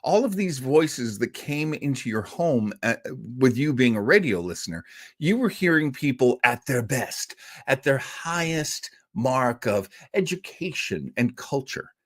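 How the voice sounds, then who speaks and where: A man hosting an old-time radio show, telling its history informally, with emphatic pauses and repetition. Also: mid-range voice, very animated. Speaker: host presenting old-time radio shows. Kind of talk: informal storytelling lecture.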